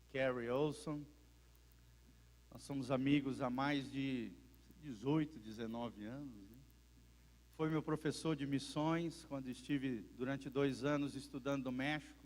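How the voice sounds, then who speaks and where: A man, the pastor, introducing a guest to his church and interpreting the sermon live, with fillers and repetitions. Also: mid-range voice. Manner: fervent, repeats phrases, fillers like "né". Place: church service. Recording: roomy church reverb.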